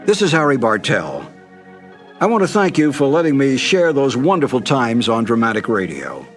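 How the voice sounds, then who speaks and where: A man's voice: medium pitch, sing-song, moderate pace, soft background music. An older American man reading a scripted announcement as a programme presenter. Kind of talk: scripted narration.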